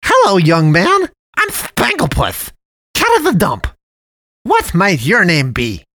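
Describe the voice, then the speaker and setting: Loud, squeaky, folksy storybook cat man, purring and sweetly cajoling, dry close sound. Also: wildly swooping pitch, takes it slowly, no room sound, full character voice. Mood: friendly, jolly. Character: jolly chubby male cat man of the dump.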